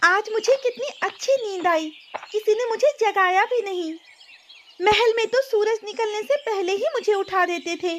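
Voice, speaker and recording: A woman's voice: very high voice, normal speed, slight background noise. A woman voicing a naive, bossy young princess who ran away, in a cartoon story. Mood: childlike. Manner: imperious, childlike. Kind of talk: Hindi cartoon moral story dialogue. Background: music.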